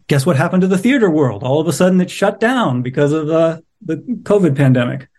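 A man's voice: on the high side, expressive, a little fast, darkish tone. A middle-aged man speaking as an interviewed playwright, casual, reflective and a little wry.

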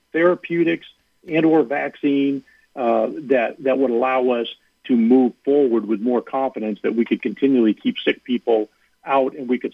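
A man's voice: medium pitch, husky, no room sound. A man who is a university athletic director, speaking as a guest in a radio interview, earnest and given to repeating words as he starts a thought. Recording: tinny phone line.

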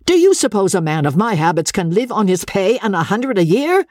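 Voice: medium pitch, sing-song, fairly quick, a little mellow, no room sound, studio quality, voice pushed up, natural voice. A narrator voicing a proud, spendthrift young army officer with a hot temper in a period storybook. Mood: indignant.

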